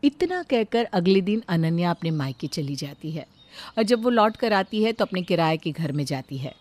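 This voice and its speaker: A woman narrating a moral family story in a steady storytelling manner. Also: fairly low pitch, moderate pace.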